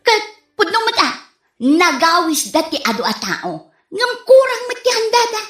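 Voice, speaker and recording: Woman acting gossipy neighborhood mother, high animated voice, Ilocano accent, comedy radio drama, fault-finding. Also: moderate pace, heavily acted. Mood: gossipy complaining. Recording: roomy echoey sound.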